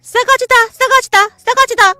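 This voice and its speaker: A cheeky parrot squawks its lines in a very high, shrill voice. Each short word is shouted in a sing-song burst and repeated like a chant. The read is close and dry, over faint background music. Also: female voice, fairly even intonation, moderate pace, dry recording, full character voice. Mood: taunting.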